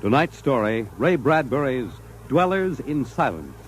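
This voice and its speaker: A man's voice: mid-range voice, sing-song, measured pace, low-quality recording. A man narrating as a 1950s radio announcer, with grave, theatrical delivery in a vintage broadcast. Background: music.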